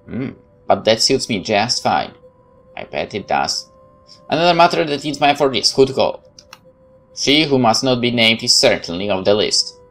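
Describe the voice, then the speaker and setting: A man's voice: mid-range voice, big pitch swings, normal speed, a bit bright. A man doing a let's play, reading a visual novel's narration aloud and adding wry casual commentary, with game music behind him. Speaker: gaming YouTuber.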